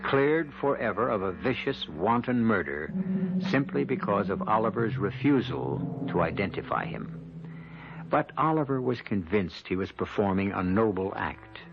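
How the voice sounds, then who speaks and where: A man narrating as the grave, wry host of a radio mystery series, with measured, ominous, literary phrasing and a gravelly voice. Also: on the low side, animated, slight background noise. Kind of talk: dramatic scripted radio narration. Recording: muffled vintage radio recording. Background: dramatic music.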